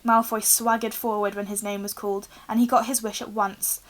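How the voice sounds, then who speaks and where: A young woman reads a storybook aloud in an expressive narrating style. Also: medium pitch, a little fast. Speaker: reader, teacher. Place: small room indoors with bookshelves.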